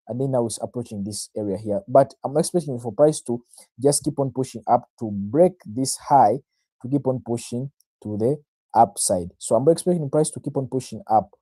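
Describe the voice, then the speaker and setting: A man's voice: medium pitch, moderate pace, dark tone, no room sound. A Ugandan man, a native Luganda speaker, hosting an informal live market analysis, self-assured, talking straight to viewers and repeating phrases to drive them in. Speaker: trader, livestream host.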